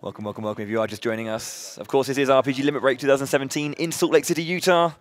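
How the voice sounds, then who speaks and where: Loud, chatty man hosting a charity marathon. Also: mid-range voice, fairly even intonation, very fast. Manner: upbeat, chatty, many fillers and restarts. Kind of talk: live event hosting.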